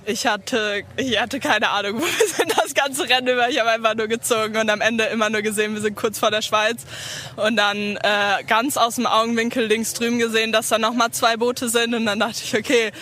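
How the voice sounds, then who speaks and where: A young woman athlete giving an excited post-race interview, laughing and rushing her words. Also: fairly high pitch. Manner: excited, laughing, breathless rush.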